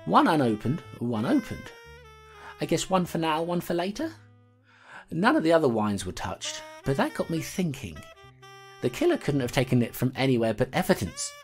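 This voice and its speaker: A woman's voice: deep voice, sing-song, normal speed, a little mellow, soft background music. A woman voicing a small clawed creature investigator, telling a grim story in clipped noir style for an audio drama. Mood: brooding, recounting. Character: small clawed creature investigator, streetwise, grimly self-deprecating narrator.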